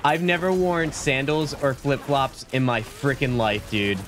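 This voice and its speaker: A man in his thirties streaming a game from a small home room on a desk mic, chatting casually and rambling, his voice a little deeper from being sick. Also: lively intonation, a little slow, fairly bright tone, slight background noise. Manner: laid-back, rambling, says "like" and "dude" a lot. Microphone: studio mic at desk, webcam framing. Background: game music.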